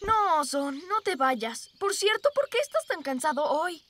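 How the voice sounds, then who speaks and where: A woman's voice: extremely high pitch, very animated, measured pace, fairly bright tone, exaggerated voice. A woman playing an eager, kind young boy turtle in a cartoon dub, earnest and exclamatory as a play captain. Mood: kind, reassuring. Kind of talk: dubbed children's cartoon acting. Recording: music behind the voice.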